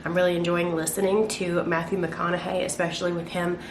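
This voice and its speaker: A woman's voice: fairly low pitch, flat delivery, brisk, a little hiss or hum, low-quality recording. A young woman with a Southern American accent, chatting casually and ramblingly in slangy language for a vlog at home. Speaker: book and craft vlogger.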